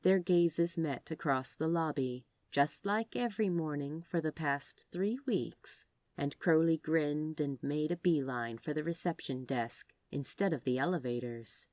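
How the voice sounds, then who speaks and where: A woman reading fan fiction aloud as scripted, expressive storybook narration. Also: low-pitched, unhurried, no room sound, studio quality. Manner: expressive read-aloud delivery. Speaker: podfic narrator.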